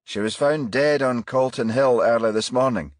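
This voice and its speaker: A man voicing a calm, polite but firm police detective in audiobook dialogue, courteous and probing. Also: medium pitch, normal speed, no room sound, studio quality, pitched above the performer's own voice, lightly acted. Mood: serious, measured.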